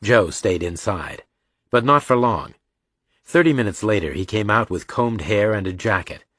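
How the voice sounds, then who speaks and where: Male audiobook narrator, lowish pitch, dry close-miked scripted storytelling. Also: animated, normal speed, a bit bright, no room sound.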